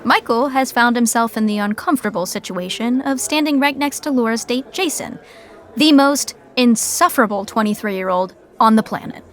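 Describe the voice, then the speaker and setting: A woman narrates a comedy from a script. Her voice is on the high side as she guides the listener through each scene. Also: animated, moderate pace.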